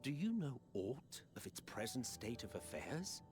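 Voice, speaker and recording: An actor playing a proud, defeated villain in a video game, grandiloquent and disdainful. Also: male voice, mid-range voice, big pitch swings, a little slow. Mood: wry, lecturing. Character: proud, theatrical, defeated male villain, imperious and wry. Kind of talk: dramatic video game acting. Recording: orchestral music under the voice.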